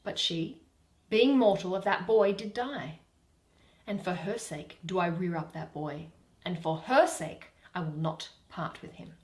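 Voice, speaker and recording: A woman voicing a proud, indignant fairy queen in measured, declamatory Shakespearean verse. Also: lowish voice, a little mellow, echoey room, pitched below the performer's own voice, lightly acted. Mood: resolute, wistful.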